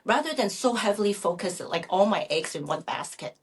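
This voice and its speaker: A middle-aged woman, a native Chinese speaker raised in Canada, answering interview questions conversationally in a halting, self-correcting way. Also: medium pitch, lively intonation, breathy edge. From Vancouver, Canada. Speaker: real estate agent guest.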